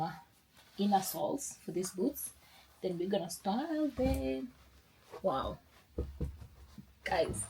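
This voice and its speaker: A woman's voice: on the low side, a little mellow, a little hiss or hum, rough recording. A young woman, chatting casually in a sit-down vlog, upbeat and rambling with restarts. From Kenya. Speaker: lifestyle vlogger.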